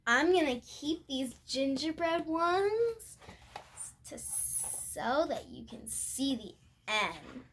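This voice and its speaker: A young girl reading a picture book aloud expressively, with halting moments and chatty asides to her viewers. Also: high voice, very animated, a bit bright, slight background noise. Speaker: storybook reader.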